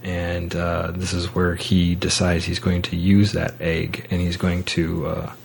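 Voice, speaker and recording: A man hosting a podcast, casually discussing film and books in a halting way, often repeating words as he goes. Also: deep voice, monotone, vocal fry, a little hiss or hum. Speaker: podcast host.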